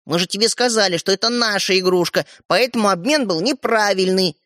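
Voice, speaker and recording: A man's voice: sits high, a little fast, pushed and bright, no room sound, clean studio sound, pitched above the performer's own voice. Man voicing an insistent, inquisitive little boy. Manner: pressing, questioning.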